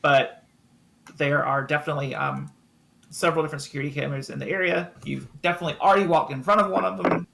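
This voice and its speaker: A man narrating a tabletop game as game master, improvising with frequent ums and pauses. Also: fairly high pitch, fairly even intonation, gravelly, noisy background.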